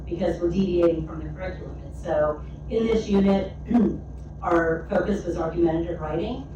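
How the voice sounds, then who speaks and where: A woman's voice: lowish voice, moderate pace, dull audio. A woman teacher chatting enthusiastically about her students' project. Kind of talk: informal remarks at a meeting. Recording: very echoey room, far mic, noisy.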